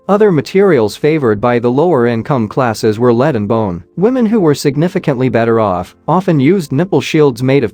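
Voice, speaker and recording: A man giving measured, scripted narration, reading questions and answers evenly with no fillers. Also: mid-range voice, darkish tone, dry recording.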